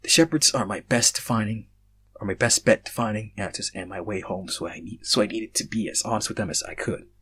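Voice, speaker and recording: A man's voice: fairly low pitch, fairly quick, pushed and bright, slightly rough, heavily acted. A man voicing a nervous, earnest foreigner from another world in a story reading, hesitant and pleading.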